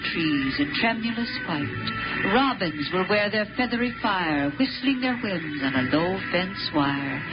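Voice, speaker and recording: A performer voicing a phonograph's recorded poetry recitation in a 1950s radio drama. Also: mid-range voice. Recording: muffled old radio broadcast, loud music.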